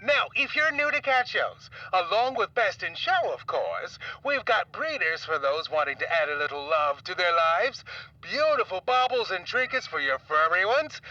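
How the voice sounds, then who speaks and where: An actor playing an upbeat, chummy young small-town reporter gushing through a 1980s radio interview in an audio comedy. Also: male voice, high-pitched, bright, forceful tone, no echo, far from the mic, highs cut off, heavily acted. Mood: upbeat, announcing. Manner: gushing, chummy showman patter.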